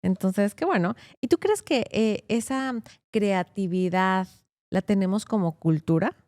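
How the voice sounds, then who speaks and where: Chatty woman host, warm and dry-sounding. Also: medium pitch, measured pace, dry recording. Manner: chatty, encouraging, fillers like "¿no?". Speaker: host, immigration lawyer. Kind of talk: casual interview conversation.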